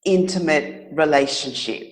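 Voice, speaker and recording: Earnest, halting woman in interview. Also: sits low, poor audio. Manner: earnest, halting, thoughtful pauses with self-corrections. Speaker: interview guest, author. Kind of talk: reflective, casual interview answers.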